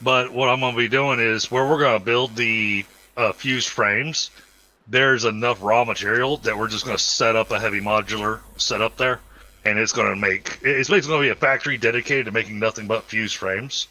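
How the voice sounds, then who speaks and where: A man's voice: mid-range voice, steady tone, fairly quick, bright, forceful tone, low-quality recording. A man with a Southern American accent, a streamer casually chatting while playing, explaining at length with plenty of fillers. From Southern United States. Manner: easygoing, rambling explanations with many fillers. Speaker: streamer hosting a multiplayer game. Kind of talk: casual game chat.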